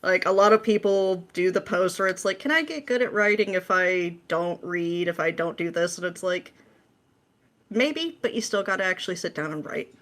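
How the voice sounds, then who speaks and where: Slightly low-voiced woman, casual and playful. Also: lively intonation, moderate pace, a bit bright. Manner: informal, playful, slangy explanations. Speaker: podcast guest, script writer. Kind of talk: casual interview chat.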